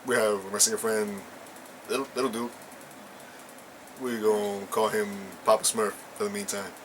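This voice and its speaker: A man's voice: fairly low pitch, fairly even intonation, moderate pace, background noise. A young man chatting casually and telling a rambling story, full of slang and fillers. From Puerto Rican and Ecuadorian family. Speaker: co-host.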